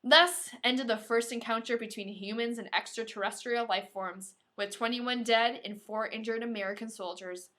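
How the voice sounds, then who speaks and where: Bright, high-voiced woman podcaster. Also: steady tone, moderate pace, hardly any pauses. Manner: informal, playful, dramatic when reading. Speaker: podcast co-host. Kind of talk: reading a novel aloud with casual banter.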